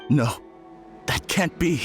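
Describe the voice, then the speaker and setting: An actor playing a tormented, delusional man in a video game, halting and bitter. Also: a little high, lively intonation, soft background music. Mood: bitter denial.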